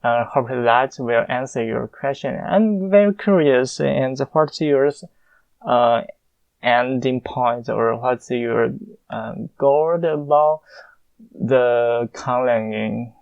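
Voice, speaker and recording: Slow halting man, Mandarin Chinese accent. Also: medium pitch, vocal fry, clear, resonant tone, no room sound, muffled recording. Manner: halting, many filler pauses. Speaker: conlang creator answering listener questions. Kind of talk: casual Q&A monologue.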